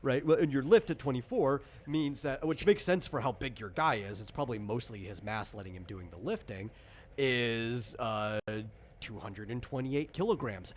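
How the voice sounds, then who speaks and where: A man talks casually and explains as he goes, like a game designer walking someone through rules, often tagging points with "right?" Some noise sits faintly behind his medium-pitched voice. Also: lively intonation, moderate pace. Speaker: game designer guiding character creation.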